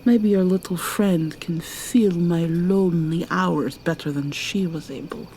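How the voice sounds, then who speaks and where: A woman voicing a seductive, menacing vampire mermaid villainess in an ASMR roleplay, taunting and purring with sudden high swoops. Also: measured pace, warm tone, slight background noise, poor audio.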